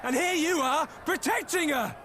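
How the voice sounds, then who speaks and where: A man's voice: extremely high pitch, normal speed, pushed and bright. A man playing an angry townsman in a video game mob, heated and confrontational. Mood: accusing, furious. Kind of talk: dramatic video game cutscene acting. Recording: noisy crowd ambience behind.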